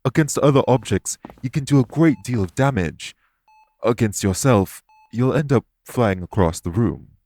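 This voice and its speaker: A man voicing a calm, courteous winged elf in an audio roleplay, speaking formally and patiently to reassure the listener. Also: mid-range voice, normal speed. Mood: measured, explanatory.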